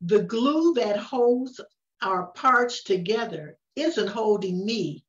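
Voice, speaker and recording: An older woman reading a rhyming children's story aloud, with expressive, unhurried delivery and frequent pauses. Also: medium pitch. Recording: roomy, distant, dull-sounding microphone.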